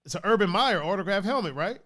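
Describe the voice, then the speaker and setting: A man from Brazil hosts a loose, profane, joking chat over a video call. His pitch swings widely and sits a touch high. The sound is close and dry. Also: lively intonation, quick pace, a bit bright, no echo. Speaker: podcast host. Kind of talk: casual chat.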